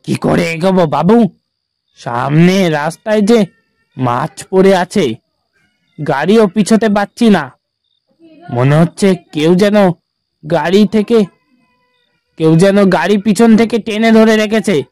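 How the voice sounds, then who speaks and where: A man voicing a grave, cryptic village auto-rickshaw driver in an animated horror story. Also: sits high, slow pace, pauses often, dry recording. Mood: uneasy. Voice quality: grave-toned.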